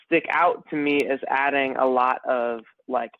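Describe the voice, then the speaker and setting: A man co-hosting a casual podcast, rambling and animated, calling his friend bro and man. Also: mid-range voice, measured pace, dry recording. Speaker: podcast co-host. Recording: dry phone-like sound.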